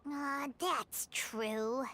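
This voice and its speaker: A woman voicing a tiny, eager, childlike fairy companion in an anime game, with a squeaky voice. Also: drawn-out words, bright, forceful tone, slight background noise, full character voice. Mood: pondering. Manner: refers to self in third person, eager. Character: Paimon, tiny excitable loyal fairy companion girl. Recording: music behind the voice.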